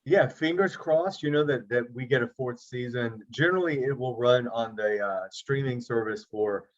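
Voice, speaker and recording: A man's voice: mid-range voice, normal speed. A middle-aged man, an actor and producer, chatting easily as an interview guest over a video call, with self-deprecating jokes. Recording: slightly dull lo-fi audio.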